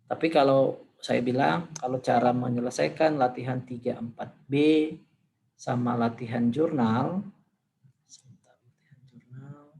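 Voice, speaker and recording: A male lecturer, his voice hoarse and gravelly with fry. He walks a class through a demonstration in a loose, informal way, thinking aloud with fillers. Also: medium pitch, steady tone, unhurried, long pauses, darkish tone, slight background noise, poor audio.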